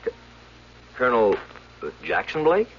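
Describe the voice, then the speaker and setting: An actor playing an earnest, baffled official who speaks haltingly and with astonishment in a radio drama. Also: male voice, mid-range voice, very animated, slightly rough. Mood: shaken, disbelieving. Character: earnest, baffled male official attending a rescued pilot. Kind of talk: 1970s radio drama acting. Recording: dull vintage radio broadcast.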